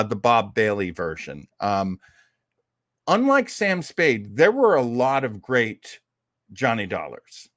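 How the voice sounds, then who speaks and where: A man's voice: medium pitch, a bit bright, no echo, clean studio sound. A man hosting an old-time radio program, giving casual commentary in a chatty, folksy, opinionated way.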